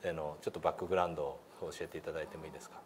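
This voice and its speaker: A man's voice: a bass voice, level tone, racing, slight background noise. A middle-aged man instructing politely in a meeting room, with many hesitations as he explains. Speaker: pronunciation instructor demonstrating an app. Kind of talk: explanatory lesson with polite questions.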